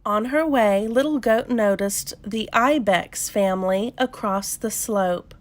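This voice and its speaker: A woman reading a children's story aloud as a narrator, in a measured, expressive storytelling manner. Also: medium pitch.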